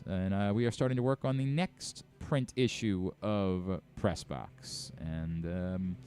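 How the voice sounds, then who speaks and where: A man's voice: low-pitched, slow, dark tone, faint music behind. A man hosting a radio show, talking casually and conversationally about sports.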